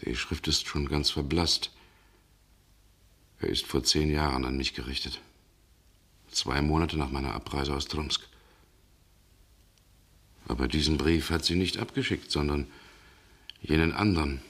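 An actor playing a brooding, haunted Russian gentleman in a German radio drama, speaking gravely and haltingly. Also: extremely low pitch, full character voice. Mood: shaken, solemn. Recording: 1960 radio recording, some background noise.

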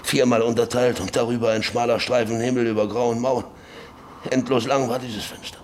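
A man acting in a German radio drama, playing a stubborn, guilt-ridden middle-aged landowner who broods haltingly and repeats his phrases. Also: medium pitch, little pitch movement, a little mellow, a little hiss or hum, close to an everyday voice. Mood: weary, dreamlike.

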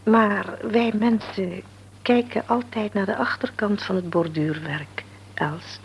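An actress playing a middle-aged mother in a radio drama, explaining things patiently and calmly. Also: mid-range voice, slow, creaky, slight background noise. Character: calm, wise mother of an adult daughter.

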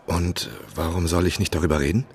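A man's voice: sits low, steady tone, brisk, natural voice. A man playing an inquisitive writer who narrates and asks probing questions in a German radio drama. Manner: probing, attentive.

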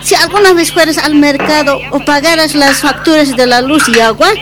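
A woman's voice: high-pitched, normal speed, lightly acted. A woman playing a harried working mother in a radio drama, with a Bolivian accent and a complaining, argumentative manner. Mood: exasperated. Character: harried, exasperated working mother and market vendor. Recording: music behind the voice.

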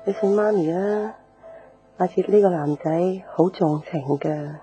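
Ailing middle-aged mother played by an actress, very mellow dark low-ish voice, gentle and weary, Hong Kong Cantonese, radio drama. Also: measured pace, close to an everyday voice, low-quality recording. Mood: gentle, resigned. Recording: faint music bed.

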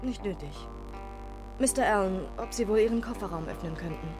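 An actress playing a self-assured, probing police detective who speaks formally and in clipped questions in a radio crime drama. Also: female voice, mid-range voice, a little fast, music in the background, natural voice. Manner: formal, clipped, insistent on rank.

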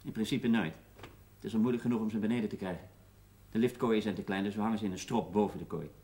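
Curt mine engineer, lowish voice, clipped, wry, seventies TV drama dialogue. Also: male voice, quick pace, a little mellow, husky. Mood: brisk, curt. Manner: clipped, dry, matter-of-fact. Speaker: mining engineer. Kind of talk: naturalistic 1970s TV drama acting.

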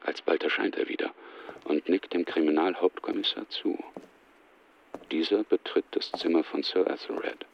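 Man, gravelly deep voice, scripted present-tense radio play narration, clearly noisy backdrop. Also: fairly even intonation, moderate pace, dull audio.

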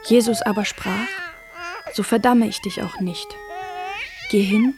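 An actress playing a remorseful young mother in a radio drama, halting and pleading as she reads scripture and prays. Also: on the high side, huge pitch swings, music in the background. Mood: anguished, pleading. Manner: halting, pleading, sometimes whispering.

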